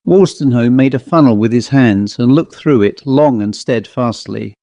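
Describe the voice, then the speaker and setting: A man narrating a Victorian ghost story as a scripted audiobook, in a measured, dramatic storytelling manner. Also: mid-range voice, mellow, dry recording.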